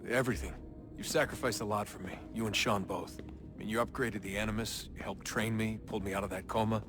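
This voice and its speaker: A man's voice: fairly low pitch, steady tone, fast talker, over music, close to an everyday voice. An actor playing a blunt, frustrated young American man, a reluctant hero in a video game. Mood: sincere, apologetic. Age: young adult. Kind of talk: naturalistic video game acting.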